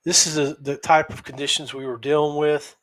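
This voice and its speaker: A man hosting a podcast, giving a casual, unscripted recap in a halting, folksy, conversational way. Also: on the high side, flat delivery, fairly bright tone, rough recording. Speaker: podcast host.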